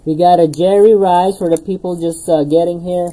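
A woman's voice: on the low side, fairly even intonation, moderate pace, mellow, rough recording. A woman hosting a live card break, calling out player names as she opens packs, laughing at herself and losing her voice. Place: indoor streaming desk with card boxes.

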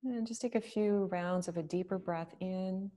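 A woman leading a guided meditation, speaking slowly and gently with long pauses. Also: fairly low pitch.

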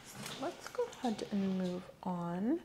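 A soft-spoken woman giving gentle, clinical instructions in an ASMR medical exam roleplay. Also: medium pitch, sing-song, a little slow, very noisy. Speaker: host playing a medical examiner. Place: small indoor room set up as exam office.

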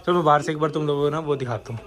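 A young man in his twenties chatting excitedly to his phone camera while on a road trip, dropping English words into casual talk. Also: fairly high pitch, rapid-fire. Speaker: travel vlogger. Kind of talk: casual travel vlog to camera. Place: outdoors on a hillside and in a small hotel room. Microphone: phone held at arm's length.

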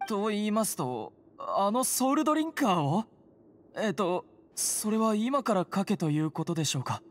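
A woman's voice: medium pitch, very animated, moderate pace, a little hiss or hum, lightly acted. A woman voicing an earnest, timid phantom hunter in a Japanese game, hesitant, polite and formally apologetic. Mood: hesitant, flustered. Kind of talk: Japanese anime game voice acting. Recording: music behind the voice. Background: game music.

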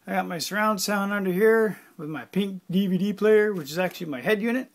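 A man in his forties with a fairly high voice talks casually and loosely, full of fillers and the occasional curse. He sounds like he is wandering through a small home workshop, recorded on a handheld phone. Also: brisk. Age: 40s. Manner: rambling and offhand, many fillers, mild swearing. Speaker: hobbyist vlogger. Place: cluttered home workshop, indoors. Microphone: handheld phone camera.

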